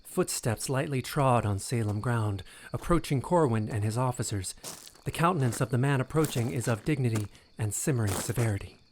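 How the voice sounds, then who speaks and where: A man's voice: medium pitch, expressive, brisk, darkish tone, slight background noise. A man narrating an audio drama in a scripted, dramatic storytelling style.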